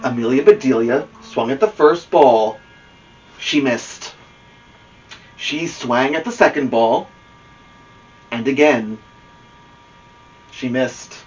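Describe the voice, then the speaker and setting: A man reading a children's storybook aloud as host, expressive and engaging with a young listener. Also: medium pitch, slight background noise. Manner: expressive, pausing to ask a child listener questions. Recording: muffled, distant microphone.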